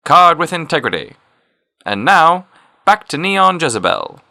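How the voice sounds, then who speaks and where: An upbeat, polished radio serial announcer, acted by a man delivers an emphatic sales pitch. His voice is raised a little in pitch and very bright, with lively swings of intonation. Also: a little slow, gravelly, full character voice. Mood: upbeat, selling.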